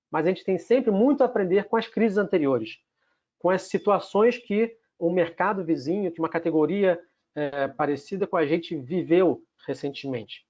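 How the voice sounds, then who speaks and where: High-voiced Carioca man presenting. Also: darkish tone, muffled recording. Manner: structured, lists numbered takeaways. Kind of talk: webinar presentation.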